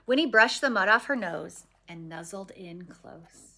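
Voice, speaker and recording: A woman reading a children's picture book aloud expressively, with dramatic pauses. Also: mid-range voice, animated, pushed and bright. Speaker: story reader.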